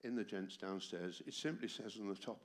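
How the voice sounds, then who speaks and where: A very deep-voiced man in his seventies preaches into a microphone in a church hall, his voice worn by a summer cold. He speaks emphatically and keeps turning to the congregation with rhetorical questions. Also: brisk. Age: 70s. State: tired voice from a heavy cold. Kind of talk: sermon. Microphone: amplified microphone.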